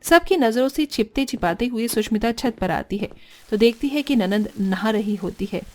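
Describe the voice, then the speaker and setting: Female narrator, high pitch, scripted family cartoon storytelling. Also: lively intonation, moderate pace.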